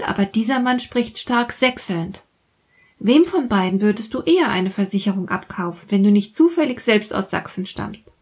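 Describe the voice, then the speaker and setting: A woman hosting a podcast, reading a scripted monologue in a calm, explaining way and speaking directly to the listener. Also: mid-range voice, breathy edge. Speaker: podcast host.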